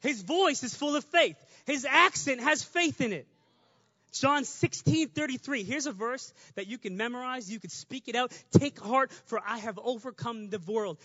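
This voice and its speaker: High-voiced man preaching a sermon. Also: no echo, highs cut off. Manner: conversational, fast, builds to shouting.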